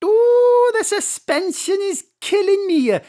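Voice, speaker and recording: A woman's voice: very high voice, takes it slowly, full character voice. A woman playing a doting, nosy, scatty mother with a Welsh accent in a comic audio drama. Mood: teasing, nosy. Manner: malapropisms, excitable, teasing.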